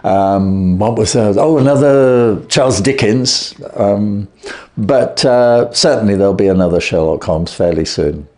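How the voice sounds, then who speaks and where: A man's voice: fairly low pitch, a little slow, a little mellow. A man narrating a classic story aloud, then chatting to viewers with hesitant fillers. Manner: hesitant, many fillers in chat. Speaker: audiobook reader. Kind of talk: storybook narration and casual sign-off.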